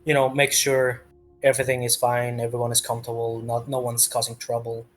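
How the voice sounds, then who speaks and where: A man's voice: medium pitch, completely flat delivery, brisk, soft background music. A man narrating a tabletop game as game master in a casual, improvised way, often searching for words.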